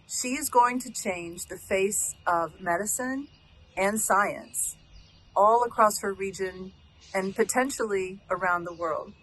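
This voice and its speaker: A woman's voice: mid-range voice, slow, a little hiss or hum, rough recording. Woman giving a proud recorded tribute. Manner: formal, warmly proud. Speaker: mentor giving a recorded message. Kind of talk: prepared video message.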